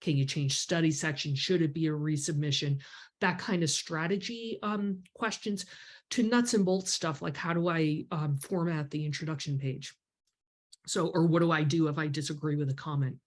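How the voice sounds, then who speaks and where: A woman's voice: sits low, normal speed. A woman in her fifties with a stuffy, congested voice, hosting a members video call from her home office, explaining things in a chatty way with lots of ums. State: congested from a cold. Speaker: grant writing mentor hosting a members call. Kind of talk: casual webinar talk with many ums. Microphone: webcam on a video call.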